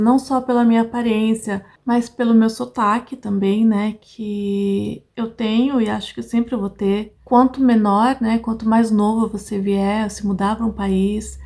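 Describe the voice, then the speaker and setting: A woman's voice: mid-range voice, dark tone. A middle-aged woman from Brazil with a Brazilian Portuguese accent, talking casually to her viewers in a vlog and laughing often. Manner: chatty, laughs often, keeps addressing viewers. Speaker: vlogger. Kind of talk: casual chat to camera.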